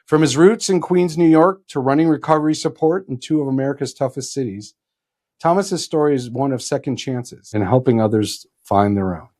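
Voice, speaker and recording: A man's voice: medium pitch, a little fast, darkish tone. Earnest male podcast host, mid-range voice. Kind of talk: podcast introduction and interviewing.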